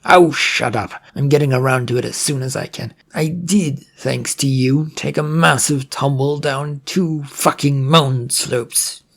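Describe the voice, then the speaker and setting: A man's voice: medium pitch, fairly even intonation, a little slow, gravelly, hardly any pauses, a bit bright. A man voicing a brash, irritable villain in a story, cocky, sneering and foul-mouthed, itching for a fight. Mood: irritated.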